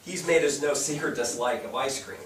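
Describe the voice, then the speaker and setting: A man's voice: medium pitch, normal speed, lightly acted. Dutiful teen son, played by a man, tentative delivery, distant stage recording with faint hiss. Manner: hesitant. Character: dutiful, unsure teenage son finishing school. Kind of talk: staged play reading. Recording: echoey stage, distant mic, background hiss.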